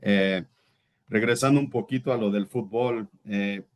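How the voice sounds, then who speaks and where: A man's voice: lowish voice, unhurried. A Brazilian-born man in his thirties, a native Portuguese speaker, giving a reflective, earnest personal testimony as an interview guest and sometimes searching for words.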